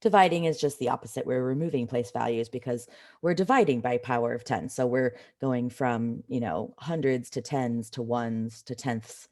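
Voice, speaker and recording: A woman teaches in a low voice that is slightly rough from a cold. She explains things informally, as in a live online class, talking straight to her students. She puts clear emphasis on the points that matter. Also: moderate pace, a little mellow. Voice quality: slightly raspy. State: has a cold. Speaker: math teacher.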